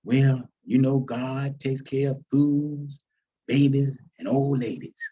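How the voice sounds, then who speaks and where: A man's voice: mid-range voice, little pitch movement, takes it slowly, mellow, husky, muffled recording, pitched below the performer's own voice. A man voicing an elderly, devout, feisty grandmother in a folksy, teasing, preaching manner. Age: older.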